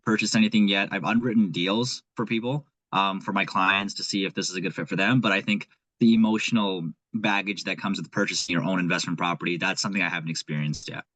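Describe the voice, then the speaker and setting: A man's voice: low-pitched, steady tone, fast talker, bright, forceful tone, no room sound, poor audio. A young man from Nepal with a Nepali accent, a guest talking earnestly and thinking aloud in casual conversation. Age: young adult. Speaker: guest, aspiring investor.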